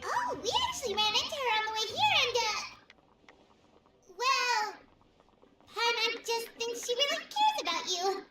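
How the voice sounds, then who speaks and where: A woman playing a small, excitable, childlike fairy companion in a video game, given to exclaiming and talking about herself in the third person. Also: very high-pitched, a little slow, long pauses, far from the mic, heavily acted. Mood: hesitant, sincere. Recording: roomy echo, music behind.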